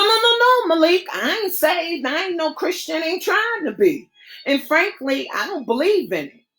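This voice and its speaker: A woman voicing an eager, scheming young woman whose lines jump high and pleading. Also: fairly bright tone.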